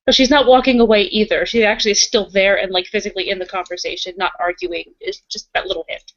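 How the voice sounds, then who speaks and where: A woman's voice: mid-range voice, fairly even intonation, quick pace, a bit bright. A woman roleplaying and narrating in a tabletop session, speaking casually and bluntly. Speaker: game master in a roleplaying session. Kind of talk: improvised in-character roleplay with narration. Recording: dull lo-fi recording.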